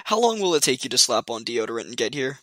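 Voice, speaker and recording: A man's voice: medium pitch, very animated, fast talker, fairly bright tone, no echo, clean studio sound. An actor playing an irritated, sarcastic young man on the phone in a radio play, with an emphatic, argumentative way of talking. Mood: restless, sardonic.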